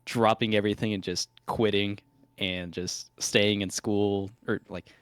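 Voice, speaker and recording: Very deep, smooth young man. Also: level tone, a little slow, no room sound. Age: young adult. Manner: hesitant, filler-heavy speech. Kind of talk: casual interview over a call.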